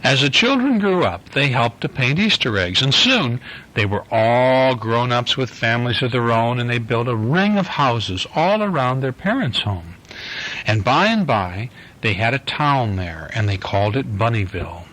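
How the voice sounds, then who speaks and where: A man reading a picture book aloud to his young daughter at bedtime at home, gently and unhurried. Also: mid-range voice, animated, rough recording. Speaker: father reading a bedtime story. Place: home bedroom.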